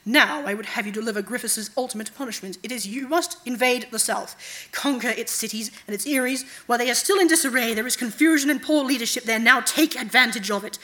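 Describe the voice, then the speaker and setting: Woman voicing an imperious, deliberate night goddess. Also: on the high side, bright, forceful tone. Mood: commanding. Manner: deliberate, commanding. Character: imperious female night god, cold, commanding, manipulative.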